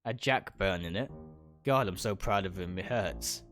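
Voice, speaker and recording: A man's voice: low voice, moderate pace, fairly bright tone. A man voicing an obsessive, possessive young woman in a visual novel, coaxing yet commanding. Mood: spiteful, gloating. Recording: music behind the voice.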